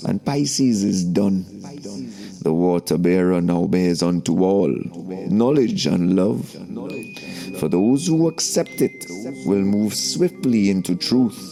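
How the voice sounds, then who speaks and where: Slow, gravelly low-voiced man narrating. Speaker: narrator and host of a spiritual story series. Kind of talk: scripted narration, preachy spoken-word teaching. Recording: voice over background music. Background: music.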